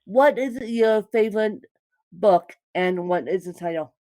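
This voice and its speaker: A woman hosting a podcast, asking interview questions in a measured way. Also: medium pitch, dark tone, no echo, clean studio sound. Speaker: podcast host.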